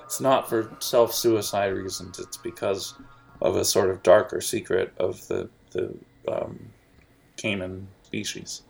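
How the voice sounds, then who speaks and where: A man's voice: low voice, unhurried, gravelly, husky, soft background music. A young man narrating a tabletop game as game master, rambling and jokey, with many fillers.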